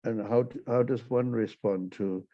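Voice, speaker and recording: A man co-hosting over a video call, talking in a hesitant, halting way with many restarts. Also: medium pitch, steady tone, lo-fi sound. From United States. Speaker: co-host. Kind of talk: casual chat with a guest.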